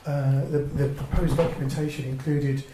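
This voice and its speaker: A man's voice: medium pitch, flat delivery, moderate pace. An English man chairing a formal council meeting in a polite, procedural and somewhat hesitant way. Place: indoor parish council meeting room. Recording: echoey meeting room, clear background noise.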